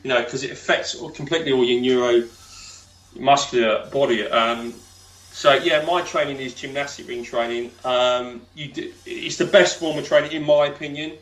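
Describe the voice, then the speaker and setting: A man with a deep voice, a podcast guest chatting casually, talking in loose, rambling sentences full of fillers and restarts. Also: moderate pace, a bit bright, low-quality recording. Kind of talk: casual interview chat.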